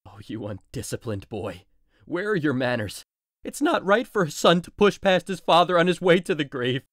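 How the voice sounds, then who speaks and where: A man's voice: on the high side, lively intonation, normal speed, no room sound, clean studio sound, lightly acted. A man playing a grieving old nobleman and bereaved father in a modernized Shakespeare audio drama. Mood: anguished scolding.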